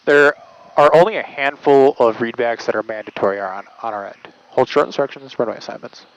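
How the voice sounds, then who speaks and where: A man's voice: medium pitch, brisk, dull audio. A man working as an air traffic controller, giving explanatory interview answers full of aviation jargon.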